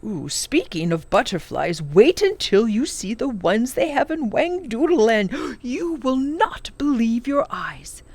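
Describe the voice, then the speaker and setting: A woman's voice: on the high side, sing-song, unhurried, hardly any pauses, a little mellow, no echo, voice pushed up, exaggerated voice. A woman voicing a kindly, learned elderly male professor in a children's storybook, exclaiming and savouring long words. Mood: excited, playful. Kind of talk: children's storybook character reading.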